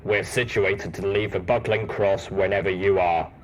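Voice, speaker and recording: A man voicing a gruff, stoic male soldier pony in a story, with a deep rumble and a blunt manner. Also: fairly even intonation, normal speed, highs cut off, lightly acted. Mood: matter-of-fact.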